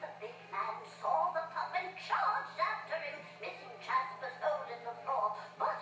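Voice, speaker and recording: A woman narrating a children's read-along storybook in a dramatic, expressive way. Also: sits high, moderate pace, very echoey, far from the mic, over music, poor audio.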